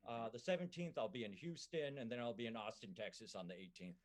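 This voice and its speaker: A comedian with a Minnesotan accent, chatting on a podcast in a halting way full of fillers and restarts. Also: male voice, medium pitch. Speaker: comedian guest. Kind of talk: casual podcast chat.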